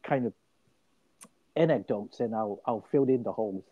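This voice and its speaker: A man's voice: fairly low pitch, moderate pace, no room sound, narrow, tinny audio. An older man from Hong Kong tells his life story as an interview guest. He speaks with emphasis and often restarts his phrases. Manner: animated and emphatic, many restarts.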